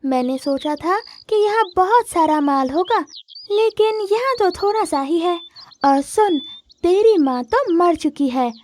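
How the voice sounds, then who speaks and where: Woman voicing a high-pitched scheming stepmother bird. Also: lively intonation, measured pace, a little mellow, slight background noise. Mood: scornful. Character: scheming deceitful female stepmother bird, sly and greedy. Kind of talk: Hindi moral-story cartoon dubbing.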